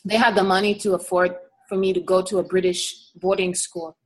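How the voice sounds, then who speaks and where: A woman's voice: on the low side, flat delivery, poor audio. Reflective middle-aged woman from East Africa. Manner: reflective and earnest, pausing often with fillers. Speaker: herbalist guest. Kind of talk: casual conversational interview.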